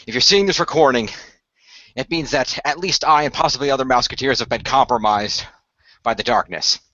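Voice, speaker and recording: A man playing an earnest young hero who gives solemn, halting instructions in an amateur radio play. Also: mid-range voice, bright, forceful tone, no echo, highs cut off, natural voice. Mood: solemn, urgent. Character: earnest young hero leaving a grave recorded message.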